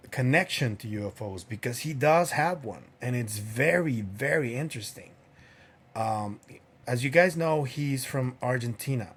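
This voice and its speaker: Unhurried male podcast host. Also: medium pitch, breathy edge. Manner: unhurried, many fillers. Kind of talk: casual solo commentary.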